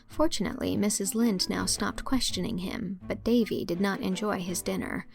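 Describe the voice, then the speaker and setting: A woman reads scripted literary narration as a storyteller. Her mid-pitched voice has noticeable creak and her delivery is measured and articulate. Faint music plays underneath. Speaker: audiobook narrator.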